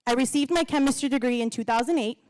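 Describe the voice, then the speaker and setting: A woman's voice: high voice, fast, a little mellow, no echo. A woman in her thirties speaking on stage into a handheld microphone at a gala, heartfelt and personal, with a faint audience behind her. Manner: heartfelt, laughs between lines. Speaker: co-emcee and alum speaker. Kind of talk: speech at a gala. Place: large event hall, on stage.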